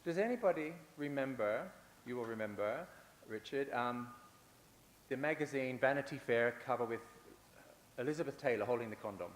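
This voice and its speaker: A man's voice: medium pitch, expressive, moderate pace. A man giving a conference talk, building his points with repeated phrases and the odd filler or restart. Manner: repetitive, rhetorical, with fillers and restarts. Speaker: conference speaker. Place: large conference hall. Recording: echoey hall with faint hiss.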